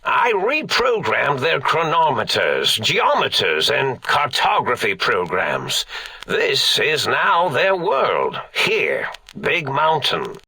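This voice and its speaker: An actor voicing an eccentric, absent-minded old mad scientist in a video game, with a theatrical delivery. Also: male voice, medium pitch, wildly swooping pitch, takes it slowly, creaky, sharp tone, slightly rough, no echo, exaggerated voice. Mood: wistful musing. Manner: rambling, stammering, theatrical self-corrections.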